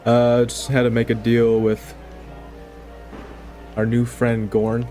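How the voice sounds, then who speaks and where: Guy with a mid pitched voice doing casual tabletop roleplay, music going behind him. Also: completely flat delivery, slow, mellow. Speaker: player in a tabletop roleplaying show. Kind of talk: casual improvised in-character chat. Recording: music under the voice.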